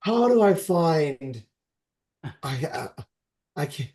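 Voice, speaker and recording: A man's voice: on the high side, sing-song, slow, mellow. A man in his forties talking casually on a podcast. He rambles and stresses his points, often restarting his sentences. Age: 40s. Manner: rambling, emphatic, with frequent restarts.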